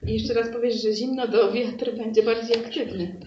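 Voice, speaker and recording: A woman's voice: fairly high pitch, fairly even intonation, moderate pace. A woman narrating an improvised comic tale as a stage performer. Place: live venue, far from mic. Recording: muffled distant echoey recording, loud noise.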